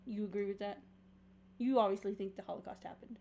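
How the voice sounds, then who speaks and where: A woman's voice: mid-range voice, steady tone, fast, creaky, warm tone, slight background noise. A young adult woman co-hosting a podcast, chatting casually and asking her co-host questions. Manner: chatty, asks questions, steers the talk. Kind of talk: casual chat.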